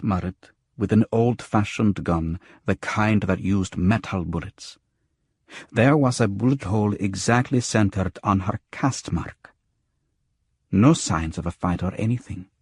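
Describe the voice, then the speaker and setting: A shaken, frightened woman in an audiobook , played by a male narrator, speaks gravely and urgently. The voice is low and husky, recorded very dry. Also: no room sound. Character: shaken, frightened woman recounting a friend's murder. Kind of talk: audiobook character dialogue.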